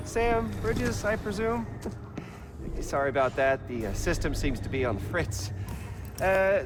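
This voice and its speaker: An actor playing a chatty, apologetic delivery clerk in a video game, with an awkward, hesitant, affable manner. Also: male voice, fairly high pitch. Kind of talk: video game character acting. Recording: noisy ambience behind the voice.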